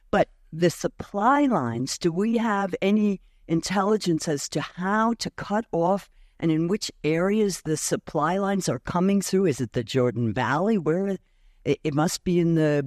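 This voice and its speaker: American-accented audience member, hesitant rambling question, dry close sound. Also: female voice, low voice, a little mellow, dry recording.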